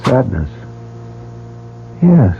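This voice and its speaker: A man's voice: mid-range voice, sing-song, extremely slow, a little hiss or hum, full character voice. A man playing a curious, guarded newcomer to a mysterious club in an old radio drama. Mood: wary. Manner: inquisitive, wary. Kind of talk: 1940s radio drama acting. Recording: phone-like old radio broadcast.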